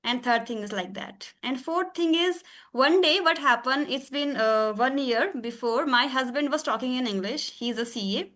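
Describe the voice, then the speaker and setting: A woman hosting a conversational podcast, a teacher talking in chatty, halting English with frequent fillers and laughs. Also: sits high, a bit bright.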